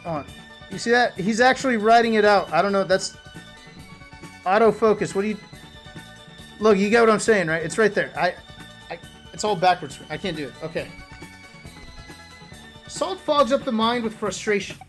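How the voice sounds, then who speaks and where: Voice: medium pitch, lively intonation, normal speed, long pauses. A streamer reading a book aloud in a casual way, breaking off for wry asides and talking directly to viewers. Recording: music playing behind the voice. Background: background music.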